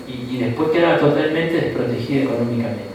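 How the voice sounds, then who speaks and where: A man with an Argentine accent teaching a Bible class in a relaxed lecture style, often checking in with the room through "¿no?" and short asides. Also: medium pitch, fast, a little hiss or hum. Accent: Argentine Spanish. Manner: conversational, frequent "¿no?" and "¿tá?" tags, asides. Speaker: bishop teaching a Bible course. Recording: echoey room, mic far off.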